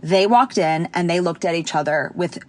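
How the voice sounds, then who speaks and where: A young woman casually telling a personal anecdote, animated and chatty with lots of fillers. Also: normal speed. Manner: animated, jumps high when quoting people. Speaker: storyteller.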